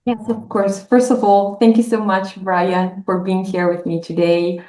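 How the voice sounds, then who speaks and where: A woman's voice: medium pitch, little pitch movement, a little slow, dark tone, echoey room, poor audio. A woman in her thirties from northern Italy with an Italian accent, speaking as a guest scholar in an academic interview, thoughtful with many fillers.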